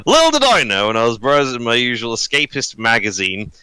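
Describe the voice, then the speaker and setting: A man in his early twenties chatting and telling personal stories on a podcast, halting, sweary and self-deprecating. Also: medium pitch, sing-song, pushed and bright, clean tone, dry recording. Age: early 20s. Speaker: podcast crew member. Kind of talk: casual podcast chat, personal storytelling. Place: online voice call recorded on own mic.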